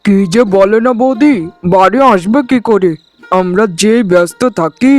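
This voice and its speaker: A man's voice: high-pitched, normal speed, a little mellow, faint music behind, natural voice. A man voicing an earnest village fish-farming brother in a cartoon family drama, talking emphatically. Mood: proud, cheerful.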